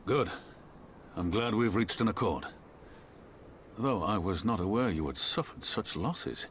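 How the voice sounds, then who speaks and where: A resolute rebel general in a video game drama. His voice is low and he speaks with measured, stern dignity. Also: expressive, a little hiss or hum. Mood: composed. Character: grave, resolute male general and resistance leader.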